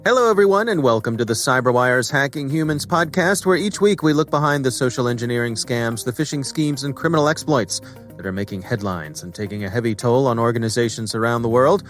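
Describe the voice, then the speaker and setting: A man's voice: medium pitch, lively intonation, brisk, soft background music. An American man working as a podcast host, moving between scripted introductions and casual chat with a co-host.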